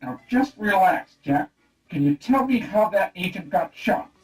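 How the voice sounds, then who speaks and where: An actor playing a practical, exasperated police sergeant in a comic detective radio play. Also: male voice, sits high, lively intonation, unhurried, darkish tone, far from the mic, faint music behind, low-quality recording. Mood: patient, exasperated.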